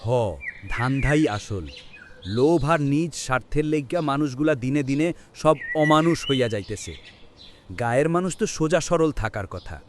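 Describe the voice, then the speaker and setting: A man's voice: on the high side, expressive, moderate pace, slight background noise, close to an everyday voice. An actor playing a disillusioned, plain-spoken village man in a cartoon, with a rural Bangladeshi accent. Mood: bitter. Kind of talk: cartoon drama dialogue.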